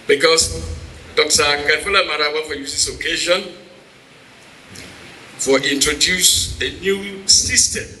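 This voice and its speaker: Sharp, forceful Sierra Leonean man, echoing. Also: on the high side. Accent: Sierra Leonean Krio. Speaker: meeting moderator. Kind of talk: informal welcome speech to a gathering. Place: hall at a town hall meeting. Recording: echoey hall with background noise.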